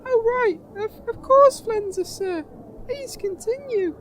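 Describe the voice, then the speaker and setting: A man's voice: extremely high pitch, expressive, takes it slowly, fairly bright tone, slight background noise, exaggerated voice. A man playing an eager, polite, naive young boy sidekick in a comedy fantasy audio drama. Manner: deferential, chirpy, earnest.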